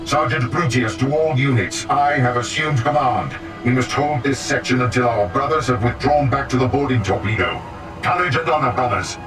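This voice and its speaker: An actor playing a steadfast space marine sergeant, giving authoritative, clipped military orders in a video game. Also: male voice, mid-range voice, moderate pace, recorded from a distance, over music, lightly acted, rough recording. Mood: resolute.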